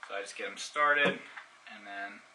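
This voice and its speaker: A man's voice: fairly low pitch, moderate pace. A man giving a casual unboxing review and hands-on demonstration, explaining things informally step by step. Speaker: product reviewer. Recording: distant mic, faint background noise.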